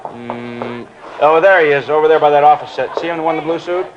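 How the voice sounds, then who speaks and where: An actor playing a helpful studio security guard in an old radio crime drama, answering in an eager, obliging way. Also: male voice, a little high, fast, natural voice. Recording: dull, noisy old radio transcription.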